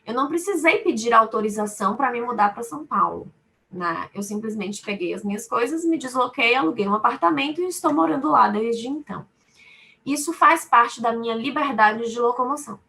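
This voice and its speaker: A woman's voice: fairly high pitch, animated, brisk, creaky, pushed and bright, a little hiss or hum. A woman with a Salvador Brazilian accent giving an unscripted explanatory webinar talk in a didactic way. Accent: Brazilian, Salvador (Bahia). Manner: didactic, explains with everyday examples and repeated phrasing. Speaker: panel speaker, law firm lawyer.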